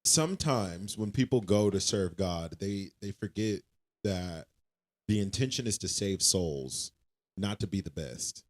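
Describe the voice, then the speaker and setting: A man with a deep, low voice talks in a relaxed podcast chat. He speaks earnestly and a little preachy, circling back and restarting phrases as he builds to his point. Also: a little slow. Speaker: podcast co-host. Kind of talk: casual faith chat.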